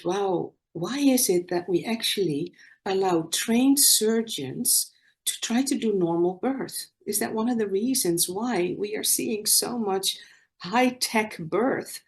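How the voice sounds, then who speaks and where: A woman's voice: medium pitch, darkish tone. A woman in her sixties with a Dutch accent, giving an earnest, storytelling conference talk over a video call. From Netherlands. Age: 60s. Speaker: conference speaker, nurse.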